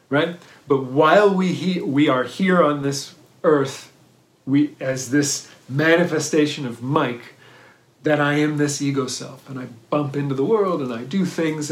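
A man giving a thoughtful dharma talk on mindfulness, pausing and restarting often and checking in with "right?". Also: mid-range voice. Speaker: meditation teacher. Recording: roomy echo.

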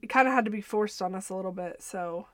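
A woman's voice: medium pitch, fast talker, a bit bright, dry recording, studio quality. A woman co-hosting a podcast in casual chat, sounding stuffy and nasal from a cold, talking from her home booth. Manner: chatty, laughs often, trails off mid-sentence. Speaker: podcast co-host. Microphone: studio mic over video call.

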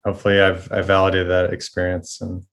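A man's voice: deep voice, monotone, quick pace, a bit bright. A man appearing as a podcast guest, talking hesitantly and apologetically in casual conversation, often repeating his words.

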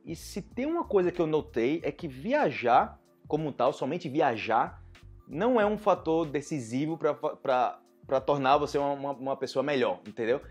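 Brazilian male teacher, casual lesson to camera, lively delivery, husky voice, soft music underneath. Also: a little high, moderate pace. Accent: Brazilian Portuguese. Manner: lively, animated, acts out students' voices. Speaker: Portuguese teacher for foreigners.

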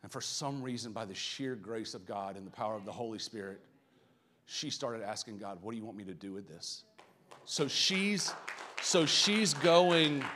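A man with a Southern American accent, a pastor giving a live church sermon, earnest and building to loud, passionate emphasis. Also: mid-range voice. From Southern United States.

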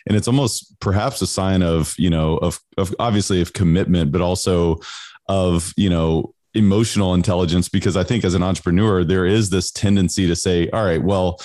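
Very low-voiced man host, earnest and rambling, close dry mic. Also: fairly even intonation, fairly quick, mellow, dry recording. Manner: earnest, rambling with you-knows. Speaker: podcast host. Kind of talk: podcast interview.

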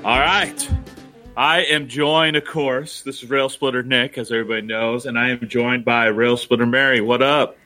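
Bright, jokey male podcast co-host. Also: mid-range voice, steady tone, normal speed, soft background music. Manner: joking, rambling, self-mocking. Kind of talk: casual chat.

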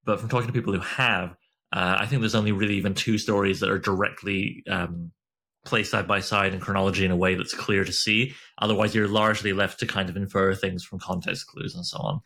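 Low-pitched, bright-voiced male interviewer. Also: steady tone, a little fast. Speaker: interviewer, host. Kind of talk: casual literary interview chat.

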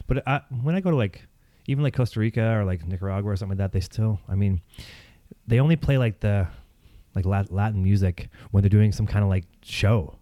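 Fast rambling guy, low mellow voice, dry close sound, casual chat. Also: no echo. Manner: rambling, lots of "like" fillers, joking.

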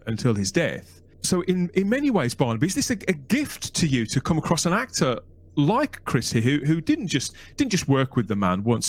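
A man hosting a podcast, asking long, winding interview questions with restarts. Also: medium pitch. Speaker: podcast host.

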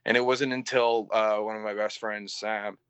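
A young man with a mix of English and Canadian accent, a band vocalist chatting as an interview guest in a halting way, full of fillers and casual swearing, on slightly dull lo-fi audio. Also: lowish voice, flat delivery, a bit bright, smooth, no room sound.

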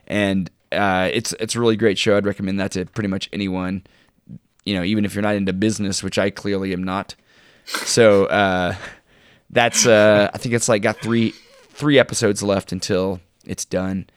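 A man with a low, smooth voice talks casually as a podcast co-host. His delivery is informal and halting, with real enthusiasm for what he likes. The recording is close and dry, so every word sits cleanly. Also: little pitch movement, no echo. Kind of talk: casual chat, recommending shows and books.

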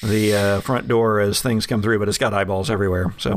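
A man narrating a tabletop game as game master, relaxed and chuckling, with many fillers. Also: lowish voice, brisk, a little hiss or hum.

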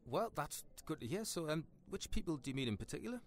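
A man's voice: on the high side, sing-song, fast. An actor playing a nervous, over-polite man, hesitant and placating in a comic dialogue. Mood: awkward.

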